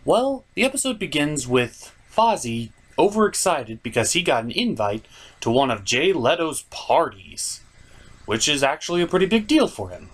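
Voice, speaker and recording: A man hosting a scripted comedic review with skits, with a theatrical, exasperated delivery. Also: medium pitch. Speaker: comic reviewer and host.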